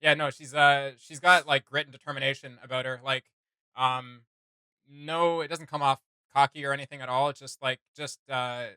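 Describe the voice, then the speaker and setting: A man's voice: mid-range voice, fairly even intonation, normal speed, pushed and bright, clean tone, dry recording. A man hosting a reaction video, chatting casually in informal, slangy language. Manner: informal, mildly sweary, slangy.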